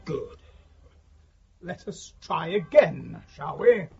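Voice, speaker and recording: A man acting a pompous, irritable official in charge of a hanging in a radio drama, curt and commanding, with a rasping voice. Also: a little high, big pitch swings, slow, muffled recording, full character voice. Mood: irritated, appalled. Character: imperious, irritable official overseeing a hanging, fearful of ridicule.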